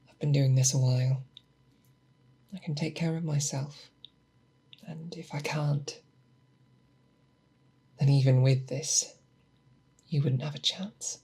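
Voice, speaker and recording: A woman playing a seasoned, grave and protective female monster hunter in a slow, hushed, breathy ASMR roleplay, close to the microphone. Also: low-pitched, steady tone, heavily acted. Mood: earnest, protective. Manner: slow, deliberate, hushed, with pauses. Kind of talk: ASMR roleplay, close soft speech and whispers. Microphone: close binaural microphone.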